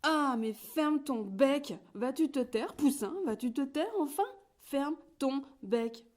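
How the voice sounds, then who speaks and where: A woman reading a picture book aloud, voicing an exasperated mother hen who shouts at her chick. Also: high-pitched, lively intonation, slow pace, pitched above the performer's own voice. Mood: scolding.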